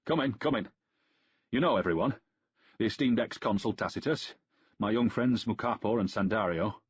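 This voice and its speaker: A man voicing a boisterous, hot-headed young Roman general with a Danubian accent, loud and hearty. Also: fairly low pitch, normal speed, husky, muffled recording, pitched above the performer's own voice. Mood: hearty welcome.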